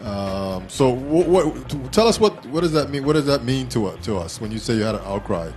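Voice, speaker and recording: A man's voice: mid-range voice, sing-song, a little mellow. Guy doing a laid-back talk show, kinda stumbles over his words, music in the back. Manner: halting, repeats words as he asks. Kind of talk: casual live talk show interviewing.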